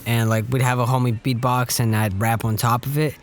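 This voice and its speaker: A young man co-hosting a podcast, telling stories in relaxed, self-mocking casual chat. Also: medium pitch, fairly quick. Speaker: podcast co-host.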